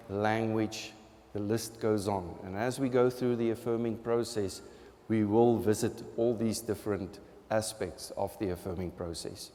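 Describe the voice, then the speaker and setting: A man's voice: medium pitch, dark tone. A man from South Africa with a South African accent, a pastor giving an earnest, conversational sermon and taking questions. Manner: earnest, conversational, laughs at his own jokes. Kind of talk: sermon with open questions from the congregation. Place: church sanctuary. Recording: long hall reverb in a church.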